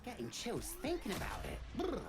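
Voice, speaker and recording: A man playing a scrappy, earnest young street kid in a game cutscene, with slangy, heartfelt speech and rough grammar. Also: sits high, wildly swooping pitch, moderate pace, over loud music, exaggerated voice. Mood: thrilled.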